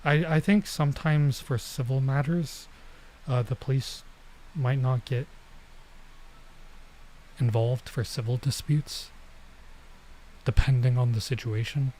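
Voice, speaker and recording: A man streaming a visual novel, reading it aloud with casual commentary and thinking out loud as he goes. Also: mid-range voice, fairly even intonation, takes it slowly, pauses often, a little hiss or hum, rough recording. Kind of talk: let's play read-aloud with casual commentary.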